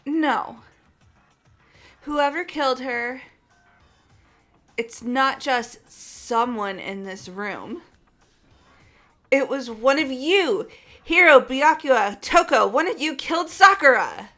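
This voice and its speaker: A woman playing a grief-stricken, hot-tempered teenage girl in a fan-voiced game, emphatic and halting. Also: sits high, lively intonation, pushed and bright, soft background music, full character voice. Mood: bitter anger.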